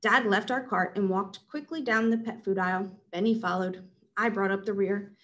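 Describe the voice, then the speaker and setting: A woman's voice: mid-range voice, normal speed, fairly bright tone. A woman reading a children's story aloud as its narrator. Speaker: read-aloud narrator at a school.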